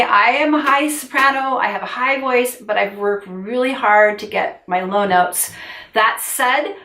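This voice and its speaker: A woman's voice: medium pitch, far from the mic. Woman vocal coach in a roomy space. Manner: warm encouraging teacher, gestures with hands while explaining. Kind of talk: instructional video lesson. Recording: roomy sound, a little off-mic.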